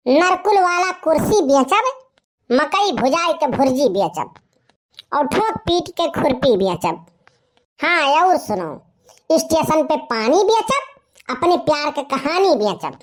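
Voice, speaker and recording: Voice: high-pitched, very animated, slow pace, heavily acted, low-quality recording. A performer voicing a boastful young village slacker in a comic cartoon story, with a rustic eastern Uttar Pradesh Awadhi accent. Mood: cheerfully scheming.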